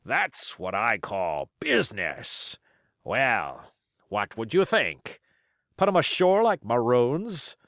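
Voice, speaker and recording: A man voicing a sly, commanding pirate sea cook in a storybook, with folksy sailor grammar and deliberate, weighty delivery. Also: mid-range voice, wildly swooping pitch, a bit bright, no echo, clean studio sound, full character voice. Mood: admiring, scheming.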